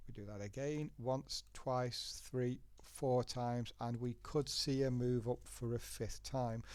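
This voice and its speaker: A man's voice: mid-range voice, darkish tone, no room sound. A man with a head cold and a blocked nose, hosting a live trading webinar and walking through charts step by step in a chatty way. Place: working from a laptop in a home setting. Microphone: laptop.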